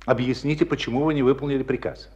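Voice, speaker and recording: An actor playing a stern, exacting commander of a military school in a radio drama, curt and authoritative. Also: male voice, mid-range voice, fairly even intonation, quick pace, a little mellow, dull audio, natural voice. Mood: stern, commanding. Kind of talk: Russian radio drama acting.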